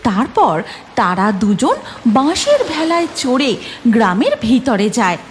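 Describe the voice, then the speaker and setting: A woman's voice: high-pitched, huge pitch swings, unhurried, slight background noise. A woman narrating a scripted cartoon story.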